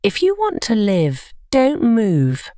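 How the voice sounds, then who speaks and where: A woman voicing a menacing blind woman, a jealous wife, in a deliberate, threatening manner for a story. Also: mid-range voice, huge pitch swings, mellow, clean tone, dry recording, clean studio sound. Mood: coldly threatening.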